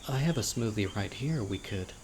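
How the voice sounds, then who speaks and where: A man playing a gentle, eager, critically ill young man with a secret obsessive streak on a date, in an audio roleplay, speaking hesitantly and softly with muttered asides. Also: lowish voice, animated, normal speed, slight background noise, close to an everyday voice. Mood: eager, shyly tender. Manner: hesitant, soft-spoken, with muttered whispered asides. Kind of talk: roleplay audio drama, intimate boyfriend monologue. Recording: outdoor nature ambience, birds and insects. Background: birdsong, crickets and insects.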